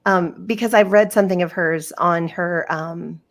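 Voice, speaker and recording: A woman hosting a faith podcast, her voice sitting a little low for a woman. She talks in an earnest, gushing way and leans on casual fillers as she chats with her guest. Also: fairly even intonation, normal speed. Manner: earnest, effusive, lots of fillers. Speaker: podcast host. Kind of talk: casual interview chat.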